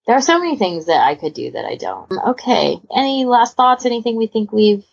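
A woman's voice: fairly high pitch, very animated, brisk, creaky, husky, highs cut off. A woman hosting a podcast in casual chat, talking in a rambling, enthusiastic, informal way. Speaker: podcast host. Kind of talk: casual chat about hobby organisation.

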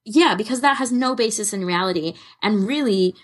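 A woman's voice: medium pitch, normal speed. A woman co-hosting a podcast in casual fan chat, rambling and self-deprecating in an amused way. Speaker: podcast co-host.

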